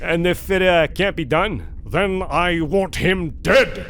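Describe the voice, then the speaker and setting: A man voicing an older, determined American tycoon giving deliberate orders in a motion comic adventure. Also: sits high, a bit bright, full character voice. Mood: grave, determined. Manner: deliberate, authoritative speeches. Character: old American industrial tycoon, gruff and determined.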